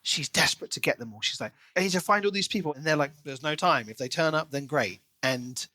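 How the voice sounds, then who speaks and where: A man co-hosting a podcast, chatting casually and enthusiastically about a book in an expressive way. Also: fairly high pitch, fast talker, no room sound. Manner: enthusiastic, expressive, acts out quoted lines. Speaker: podcast co-host.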